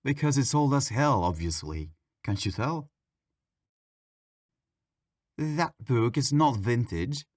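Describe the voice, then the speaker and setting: A man's voice: medium pitch, expressive, slow pace, pauses often, no echo. Petulant, sassy male ghost played by a man, close and dry. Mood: sassy, grumbling. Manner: sassy, casual, dramatic complaining. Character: petulant, mischievous male ghost haunting a public library. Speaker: ASMR roleplay voice actor. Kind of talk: ASMR audio roleplay, conversational acting.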